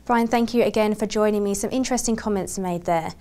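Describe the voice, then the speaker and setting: A woman's voice: mid-range voice, fast, warm tone. A woman working as a broadcast journalist, putting scripted interview questions in a formal, polished presenter's delivery.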